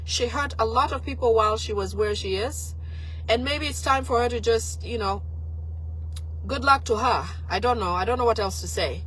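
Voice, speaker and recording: A woman speaks in a hoarse, raspy voice, worn down by a flu. Her voice sits a touch high for a woman. She gives pointed political commentary to a live-stream audience, with plenty of fillers and restarts. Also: normal speed, fairly bright tone, slight background noise, poor audio. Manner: talks to viewers and reads their comments aloud.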